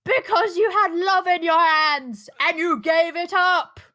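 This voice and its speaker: A high-voiced ancient woman, acted out by a woman at a table read heaps scorn on her listener. She drags out her words and shrieks them in fury, as a jeering storybook crone. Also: bright, forceful tone, exaggerated voice. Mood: scornful fury. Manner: shrieking, drawn-out contemptuous declaiming. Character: furious ancient peasant woman, jeering and booing. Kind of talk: amateur table read acting.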